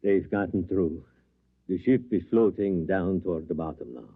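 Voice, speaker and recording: An actor playing a detached, skeptical scientist in a 1950s radio drama, measured and dryly clinical. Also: male voice, sits low, breathy edge. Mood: pensive. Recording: tinny, noisy old radio recording.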